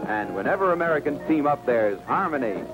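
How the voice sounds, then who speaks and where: Loud music runs under an old radio spot. A male announcer with a fairly high voice delivers rhythmic patter, his pitch moving in a lively way. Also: fairly quick, low-quality recording. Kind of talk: vintage radio public service message, rhythmic patter.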